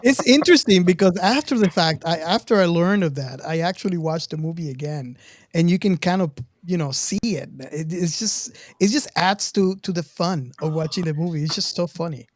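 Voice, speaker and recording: A woman's voice: on the low side, moderate pace, no echo. A woman casually discussing movies as a podcast panelist.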